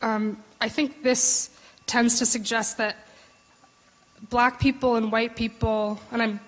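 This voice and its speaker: A woman's voice: on the high side, flat delivery, slow pace. A young woman speaking from notes at a podium in a large hall, tentative and thoughtful, with frequent ums and searching phrasing. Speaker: panel discussant. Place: large concert hall stage. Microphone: podium microphone. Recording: long hall reverb.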